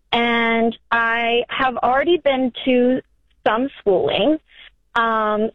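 A woman's voice: a little high, no echo. A woman in her early twenties calling in to a radio advice show, explaining her situation conversationally in a hesitant, rambling way. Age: early 20s. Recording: tinny narrow-band phone line.

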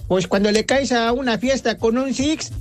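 A man's voice: extremely high pitch, normal speed, soft background music. A man sending a laid-back, joking voice message to a radio show.

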